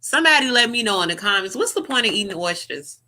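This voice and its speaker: A young woman vlogger giving sassy, profane, animated reaction commentary to camera. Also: mid-range voice, fast talker.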